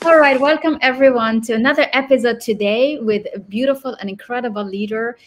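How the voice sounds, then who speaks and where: Female interview host, somewhat high voice, effusive, encouraging, casual live-chat delivery. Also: brisk, a little hiss or hum.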